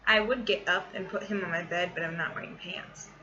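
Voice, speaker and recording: A woman's voice: on the low side, steady tone, brisk. Casual, self-deprecating woman over noisy, muffled sound. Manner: self-deprecating, scattered, informal. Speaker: vlogger and amateur short story writer. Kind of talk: casual rambling vlog chat. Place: small bedroom at home, indoors. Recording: muffled, noisy room with fan running. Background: running fan noise.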